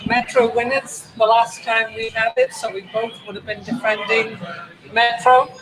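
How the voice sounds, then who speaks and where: A woman's voice: fairly high pitch, flat delivery, moderate pace, rough recording. A woman in her sixties chats casually and shares personal anecdotes as a guest coach. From England. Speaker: high school coach, show guest. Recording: crowd chatter behind.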